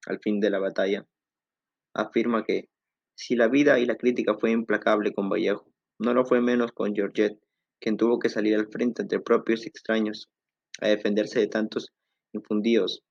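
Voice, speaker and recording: A man's voice: on the low side, no echo. A man reading a narration in a formal, explanatory way. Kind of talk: read narration. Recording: dry, dull lo-fi recording.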